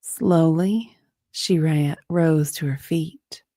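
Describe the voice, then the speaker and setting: Slow, low, creaky woman narrator. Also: warm tone, no room sound, studio quality. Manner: breathy, often dropping to a whisper. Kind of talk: dramatic romance reading.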